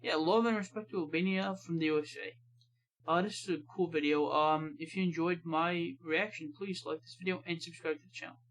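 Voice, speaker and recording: American man, reaction host, slightly high pitch, casual, offhand, reads comments aloud. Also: fairly even intonation, a little fast, a bit bright, low-quality recording. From United States.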